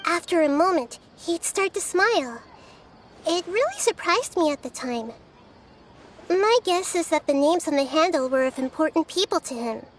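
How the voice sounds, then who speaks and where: A woman voicing a gentle, wise young girl deity in a video game, speaking in a thoughtful, measured, childlike way. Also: very high-pitched, expressive, a little hiss or hum. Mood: reflective.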